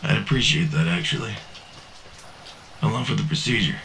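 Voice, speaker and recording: A man's voice: on the low side, lively intonation, normal speed, pushed and bright, a little hiss or hum, rough recording. A man playing a wary, street-smart cyberpunk drifter in an audio drama, terse and cautionary. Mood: resigned. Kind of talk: science fiction audio drama acting.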